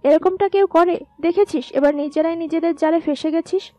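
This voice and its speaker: A woman's voice: very high voice, normal speed, dark tone, dry recording, soft background music. A woman playing a scolding family member in an audio story drama. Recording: dull, muffled sound.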